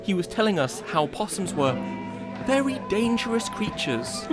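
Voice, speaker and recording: A man acting a dry, good-humoured police officer bantering casually in an audio drama, measured and teasing. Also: sits high, big pitch swings. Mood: amused. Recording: music behind the voice.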